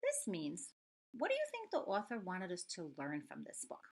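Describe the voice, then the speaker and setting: A woman elementary school teacher reading a story aloud and talking to children, expressive and full of questions. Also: on the low side, normal speed, husky. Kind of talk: children's read-aloud and lesson.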